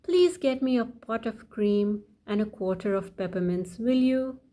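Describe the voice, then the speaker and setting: Old village woman played by a female reader, storybook character, politely calling out, unhurried. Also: mid-range voice, warm tone, lightly acted.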